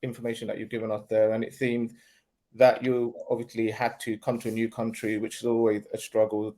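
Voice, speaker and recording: A man hosting and interviewing on an online webinar call, talking in a relaxed, conversational way with ums and restarts. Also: medium pitch.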